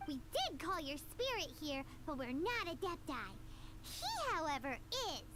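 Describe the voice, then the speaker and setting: A woman voicing a tiny, chatty female fairy companion in a video game, with a squeaky, childlike voice and a bouncy, exaggerated delivery. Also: very animated, a little slow, background noise, full character voice. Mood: wry. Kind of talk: game cutscene voice acting. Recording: game audio with music behind.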